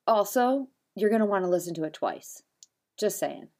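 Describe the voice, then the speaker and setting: A woman co-hosting a podcast in a bubbly, animated way, full of quick asides. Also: mid-range voice. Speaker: podcast co-host. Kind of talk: casual podcast chat.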